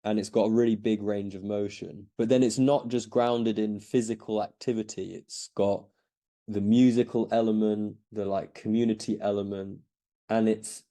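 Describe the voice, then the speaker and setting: Low, mellow-voiced man in hesitant chat. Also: fairly even intonation, normal speed. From Belgium. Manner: hesitant, many restarts and fillers. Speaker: podcast guest. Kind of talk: casual interview answers.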